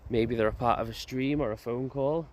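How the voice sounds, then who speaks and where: A man's voice: medium pitch, moderate pace. A man walking through a graveyard as he vlogs, musing aloud in a hesitant way with many fillers and restarts. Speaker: paranormal investigator vlogger. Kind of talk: walking vlog commentary. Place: graveyard outdoors, walking a path. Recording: loud outdoor background noise. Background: outdoor noise and birds, a crow.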